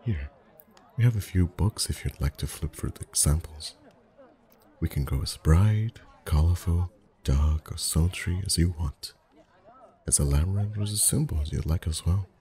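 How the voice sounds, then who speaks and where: A man acting as a friendly festival face painter in an ASMR roleplay. His voice is extremely low and mellow, softly spoken and gentle. He rambles in a chatty, lightly flirtatious way. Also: normal speed, lightly acted. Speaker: ASMR voice actor.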